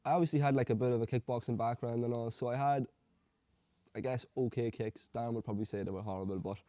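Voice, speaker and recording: A young man from Hungary, an amateur fighter telling his story in a relaxed, rambling way. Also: medium pitch, fast, no echo. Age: young adult. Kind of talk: casual interview answers.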